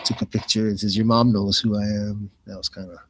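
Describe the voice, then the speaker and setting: A man's voice: fairly low pitch, flat delivery, brisk, slight background noise. A man hosting live fight commentary in a loose, casual, rambling way, often repeating phrases as he thinks aloud. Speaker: radio play-by-play commentator and host.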